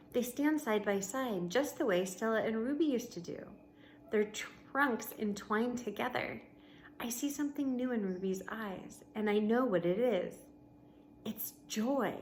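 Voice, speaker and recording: A woman with a fairly high voice reads a children's story aloud in the manner of a schoolteacher. She is expressive, pauses deliberately for drama and slips into casual explanation. A faint noise sits behind her in the recording. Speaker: teacher reading aloud to pupils. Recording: noticeable background noise.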